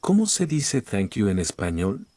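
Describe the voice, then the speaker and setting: Lower-voiced man reading Spanish phrases. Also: a little slow, no room sound. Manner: careful, even delivery for repetition. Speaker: Spanish phrase reader in a lesson. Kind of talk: scripted phrase reading for learners.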